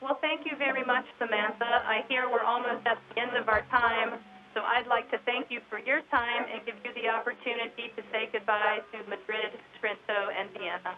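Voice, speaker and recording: A woman moderating a live event, reading out children's questions in a clear, formal manner. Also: fairly high pitch, fairly even intonation, moderate pace, noisy background. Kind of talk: moderating a live link with schools. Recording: dull lo-fi audio with a crowd behind.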